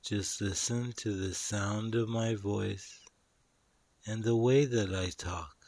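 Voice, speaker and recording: Male meditation guide, fairly low pitch, very unhurried delivery, soothing and instructional, short spaced-out phrases. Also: fairly even intonation, darkish tone, dry recording.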